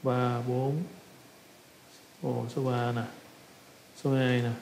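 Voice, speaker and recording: A man with a Southern Vietnamese accent explains software in an offhand, improvised way. His voice is gravelly and stays quite monotone, with repeated phrases as he goes. A faint noise sits behind the screen recording. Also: mid-range voice. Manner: improvised, halting, repetitive step-by-step explaining. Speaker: tutorial instructor.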